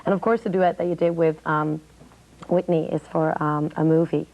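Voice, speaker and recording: A woman's voice: sits low, fairly even intonation, normal speed, highs cut off. A woman interviewer chatting casually, asking questions in an informal, agreeable, chatty way.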